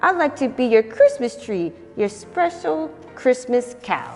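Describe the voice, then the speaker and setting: Here is a gentle cow from a storybook, voiced high and animated by a woman reading aloud. The sound carries a roomy echo, with a soft music bed underneath. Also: unhurried, vocal fry, full character voice. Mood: content. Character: stubborn sweet-natured female cow.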